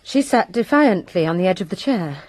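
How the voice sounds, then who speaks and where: A woman's voice: on the low side, lively intonation, moderate pace, darkish tone, no echo. A woman playing a resentful lady's maid who gives her testimony with bitter emphasis in a radio drama.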